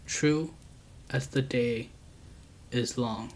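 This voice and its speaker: Man, storyteller, calm, unhurried, monotone, mid-pitched. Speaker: storyteller, channel host. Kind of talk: reading true scary stories aloud.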